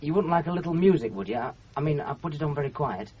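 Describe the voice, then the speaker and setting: Hesitant, awkward young Northern bridegroom. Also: male voice, fairly high pitch, animated, fast, slightly rough. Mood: nervous. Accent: Northern English. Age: young adult. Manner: hesitant, stammering, apologetic. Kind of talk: 1970s radio drama acting. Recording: muffled 1970s radio broadcast, music behind.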